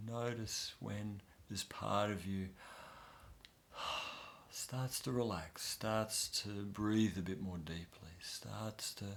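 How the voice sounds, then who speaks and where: A man guiding a soft, soothing inner-child meditation with long pauses. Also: fairly low pitch. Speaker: meditation guide.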